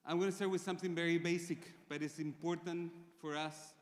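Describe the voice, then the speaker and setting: A man's voice: fairly high pitch, fairly even intonation. Chilean man speaking in a reverberant hall. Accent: Chilean Spanish. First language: Spanish. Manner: measured, with frequent pauses and fillers. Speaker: conference speaker. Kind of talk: conference presentation. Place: large conference hall.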